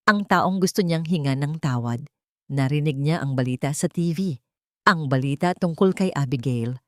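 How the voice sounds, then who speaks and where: Low-voiced woman narrating a drama. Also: a little mellow, no echo, clean studio sound. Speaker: audiobook narrator. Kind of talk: scripted dramatic story narration.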